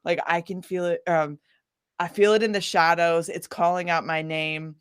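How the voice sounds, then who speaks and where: A woman with a slightly low voice tells stories casually as an interview guest. She is animated and informal, often slipping into quoted dialogue as she goes. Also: normal speed, clean tone. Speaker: singer-songwriter interview guest. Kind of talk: casual chatty interview storytelling.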